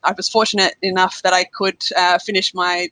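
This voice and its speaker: A woman's voice: on the low side, little pitch movement, brisk, sharp tone, dry recording, rough recording. An Australian woman chatting as a guest on a podcast interview over a remote call, laughing lightly between phrases. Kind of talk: casual conversational interview.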